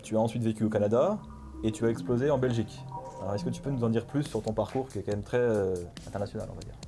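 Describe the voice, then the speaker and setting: A man's voice: lowish voice, fast talker, over music. A man interviewing a new player for the club, leading with prepared questions and stumbling now and then. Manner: leads with set-up questions, occasional stumbles.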